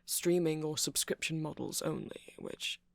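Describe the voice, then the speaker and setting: A man performs a shy, nerdy male vampire who works in a music shop. He rambles slowly in a gentle, creaky voice, full of hesitant apologies. It is an intimate ASMR roleplay, recorded close and very dry. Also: fairly high pitch, lively intonation, no echo, exaggerated voice. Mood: earnest, passionate. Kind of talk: ASMR roleplay, soft casual monologue to listener.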